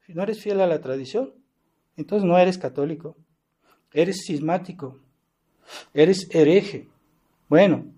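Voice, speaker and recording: A man's voice: sits high, warm tone. A man, a priest giving a sermon in an earnest, insistent way, often adding rhetorical tag questions. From Mexico. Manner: earnest and insistent, with frequent rhetorical "¿verdad?" tags and restarts.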